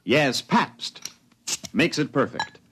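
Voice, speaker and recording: Casting: male commercial announcer, 1950s radio pitch, lively intonation, light music underneath. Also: slow pace. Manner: polished sales pitch. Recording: vintage radio broadcast.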